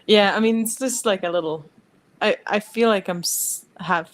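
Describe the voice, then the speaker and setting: A woman's voice: mid-range voice, normal speed. A young woman from the Czech Republic with a Czech accent, a snowboarder chatting casually in an interview, full of "like" fillers. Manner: relaxed, chatty, many "like" fillers. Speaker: guest, professional snowboarder.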